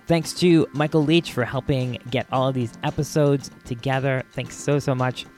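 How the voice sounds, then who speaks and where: A man's voice: medium pitch, fairly even intonation, normal speed, a little mellow. An American man hosting a podcast with casual commentary, speaking in an informal, chatty and somewhat hesitant way. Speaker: podcast host. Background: music.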